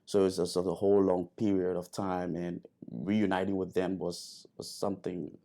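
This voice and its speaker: A man from Liberia telling his story in an interview, halting with many fillers and restarts. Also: sits low, level tone, warm tone. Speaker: interview guest, airman.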